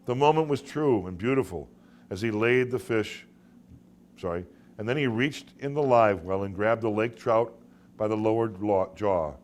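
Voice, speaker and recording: A man narrating literary short stories as their author, in a dramatic audiobook style with deliberate phrasing and emphatic pauses. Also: on the low side, a little hiss or hum.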